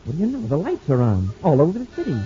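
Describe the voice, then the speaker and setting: An actor playing an eager young space cadet sidekick, chatty and joking, in a 1950s radio drama. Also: male voice, fairly high pitch, huge pitch swings, fast, warm tone, faint music behind. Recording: muffled old radio recording with faint hiss.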